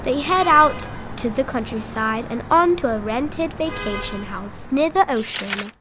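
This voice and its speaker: A woman's voice: a little high, expressive, measured pace, noisy background. A woman reading a storybook aloud expressively for small children. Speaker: storybook reader.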